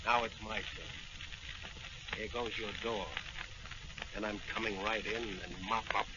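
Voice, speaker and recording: An actor playing a bold, righteous masked crime-fighter in a declamatory 1940s radio style. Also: male voice, lowish voice, steady tone, unhurried, lightly acted. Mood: taunting. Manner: declamatory, taunting. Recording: dull, very noisy old radio recording.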